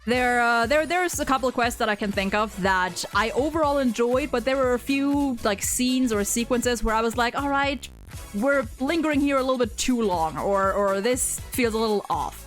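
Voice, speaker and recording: A woman with a fairly high voice hosts a loose, unscripted chat about video games. She rambles and thinks aloud, breaking her opinions into halting phrases. Faint music plays underneath. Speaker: host of a video game ranking video.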